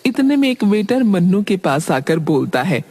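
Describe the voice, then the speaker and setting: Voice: medium pitch, big pitch swings, moderate pace, darkish tone. Storytelling cartoon narrator over music. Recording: background music under voice.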